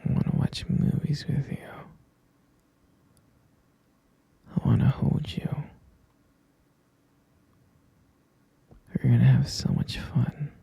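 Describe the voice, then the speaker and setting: Breathy whispering obsessive lovesick captor, played by a man. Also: mid-range voice, dark tone, no room sound, heavily acted. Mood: soothing, obsessive. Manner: slow, coaxing, repetitive, possessive endearments. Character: obsessive lovesick male captor, tender yet menacing. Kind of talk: whispered ASMR roleplay.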